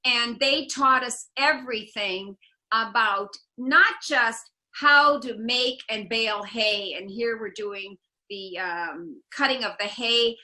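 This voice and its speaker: A woman's voice: on the high side, slow, pushed and bright, rough recording. A woman in her seventies from the US Midwest, giving an illustrated talk as a tour guide on a video call, telling her story and dropping in Italian words with relish. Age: 70s. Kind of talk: illustrated talk over slides.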